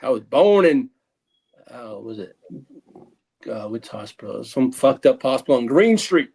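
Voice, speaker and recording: A man from northern New Jersey on a casual podcast, talking in halting bits while searching for words. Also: mid-range voice, sing-song, creaky, mellow, breathy edge. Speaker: podcast panelist.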